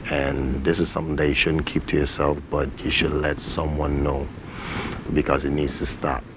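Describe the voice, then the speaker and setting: Male guest, very low gravelly voice, casual interview talk over clear background noise. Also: normal speed. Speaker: stage play director being interviewed. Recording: steady background hiss and rumble.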